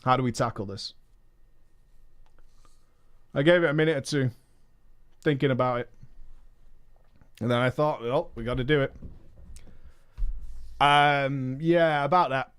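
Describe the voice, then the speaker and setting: A man's voice: medium pitch, measured pace, pauses often, fairly bright tone, clear, resonant tone. A man streamer reading a viewer's story aloud and breaking in with emphatic, mocking asides.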